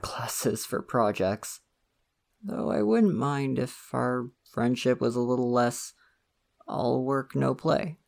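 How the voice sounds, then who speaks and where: Man acting as shy college crush, creaky, lively pitch. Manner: hesitant, rambling, chuckling self-consciously. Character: shy, friendly, awkwardly flirty male college crush. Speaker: ASMR roleplay performer. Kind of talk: intimate ASMR roleplay, casual conversational acting.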